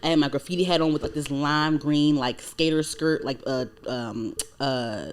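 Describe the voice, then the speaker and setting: A woman's voice: low voice, moderate pace, clean tone. A woman in her late twenties hosting a podcast, chatting casually with lots of fillers and "like"s. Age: 20s. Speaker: podcast host. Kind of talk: casual chat.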